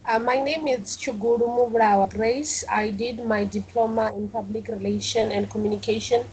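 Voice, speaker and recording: A woman from Africa, earnestly introducing herself in a short pitch over a video call. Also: fairly even intonation, normal speed, darkish tone, a little hiss or hum, rough recording. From South Africa. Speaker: course participant. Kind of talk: spoken one-minute pitch. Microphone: video call, camera off.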